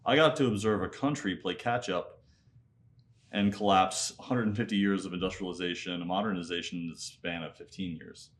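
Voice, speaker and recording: Male interview guest, thirties, raised in Nepal, American accent, lower pitch, reflective conversational storytelling. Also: a little fast. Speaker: interview guest, MBA student and entrepreneur.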